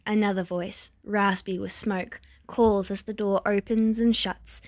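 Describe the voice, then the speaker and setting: A woman reading a story aloud as narrator, in expressive scripted narration. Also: medium pitch, measured pace, dry recording, clean studio sound. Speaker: fan fiction narrator.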